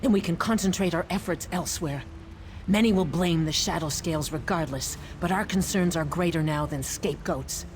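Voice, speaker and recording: An actress playing a formal, guarded town official in a fantasy video game, measured and stern. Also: female voice, lowish voice, a little hiss or hum, lightly acted. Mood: grave, formal.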